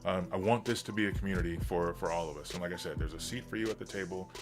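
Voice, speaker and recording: A man hosting a livestream in casual, rambling, enthusiastic chat, his voice slightly hoarse from getting over being sick. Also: sits low, racing, music in the background. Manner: enthusiastic, informal geek talk. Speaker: livestream host.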